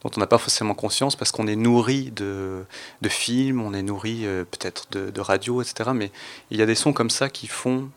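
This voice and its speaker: A man hosting an unscripted radio panel discussion, moderating in a halting, reflective way. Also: on the low side.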